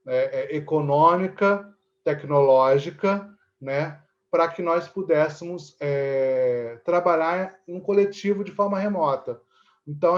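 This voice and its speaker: A Brazilian man explaining in a conversational interview, haltingly searching for words and often adding checking tags. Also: fairly high pitch, muffled recording. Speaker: interviewee, theatre teacher and actor.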